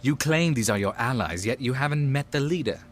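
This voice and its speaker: A man's voice: medium pitch, animated, a little fast, a little hiss or hum, lightly acted. An actor playing a bold male sidekick in an audio drama, pointed and cheeky as he challenges others. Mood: challenging.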